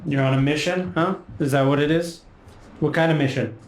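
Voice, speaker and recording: A man's voice: on the high side, steady tone, fairly quick, lightly acted. A man playing a suspicious, commanding camp guard who questions newcomers in a tabletop game. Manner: commanding, probing, sceptical. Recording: roomy echo with faint background noise.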